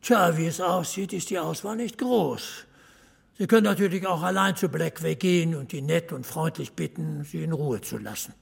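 A blunt small-town boss in an audio drama. His fairly high voice is heavily creaky, and he gives orders dryly and without fuss. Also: moderate pace, exaggerated voice. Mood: dry, matter-of-fact. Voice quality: husky, gravelly. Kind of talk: naturalistic German audio drama acting.

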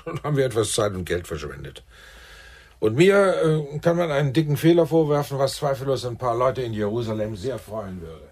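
A man acting a shrewd, persuasive intelligence team leader in a German radio play, speaking deliberately and insistently. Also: mid-range voice, animated, darkish tone, natural voice. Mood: blunt, confident.

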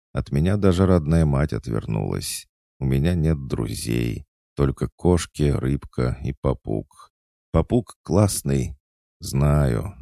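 A man's voice: a bass voice, vocal fry, warm tone, dry recording, clean studio sound, exaggerated voice. A man voicing a lonely old witch woman in an audiobook, speaking in a sighing, sorrowful way.

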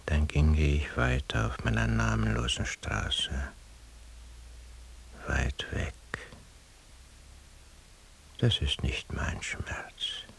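An actor playing a detached, dreamy man thinking to himself in a hushed, brooding literary radio monologue. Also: a bass voice, vocal fry, exaggerated voice. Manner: hushed, slow, brooding, often sinking toward a whisper. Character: detached, dreamy man lost in his solitary inner thoughts. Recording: faint tape hiss.

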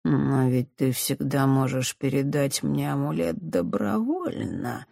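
A woman acts out a cold male circus director from a storybook. He speaks slowly and drawls, weighing threats as if thinking aloud, with a growl underneath. The sound is close and dry. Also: rumbling low, big pitch swings, vocal fry, warm tone, no echo, heavily acted. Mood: quietly menacing, musing. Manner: slow, drawling, growling when angered.

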